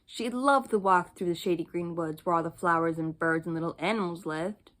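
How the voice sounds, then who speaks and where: A woman's voice: on the low side, fairly quick, fairly bright tone. A woman narrating a children's bedtime story as she reads from a storybook. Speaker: storybook reader.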